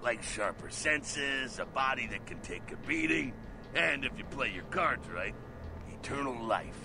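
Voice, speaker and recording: A streetwise male vampire mentor from a video game, gruff and full of swagger. His gravelly voice swings in lively arcs as he lays things out bluntly, over clear background noise. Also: medium pitch, a little slow. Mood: cocky, explanatory. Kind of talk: video game character acting. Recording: noisy game ambience behind.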